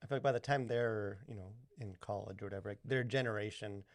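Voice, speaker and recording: A man's voice: lowish voice, steady tone, fast, no room sound, clean studio sound. A man co-hosting a podcast in casual chat, rambling with lots of "like" and "you know". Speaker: podcast co-host.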